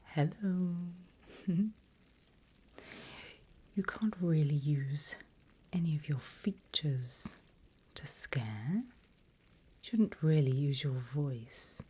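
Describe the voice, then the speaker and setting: Breathy, whispering woman as a sly demon mentor. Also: sits low, lively intonation, full character voice. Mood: sly, ominous. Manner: slow, teasing, deliberate, hushed. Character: seasoned female demon mentor, vain, teasing, darkly playful. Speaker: demon guide training a newcomer. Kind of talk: whispered ASMR roleplay.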